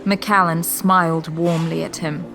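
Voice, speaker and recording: A woman's voice: on the low side, level tone, measured pace, gravelly, music in the background. A woman narrating a scripted audio drama as a poised storyteller.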